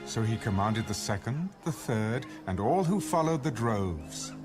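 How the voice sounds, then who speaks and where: A man's voice: on the low side, sing-song, unhurried. A man narrating an audio Bible in a dramatic, scripted storytelling style. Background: background music.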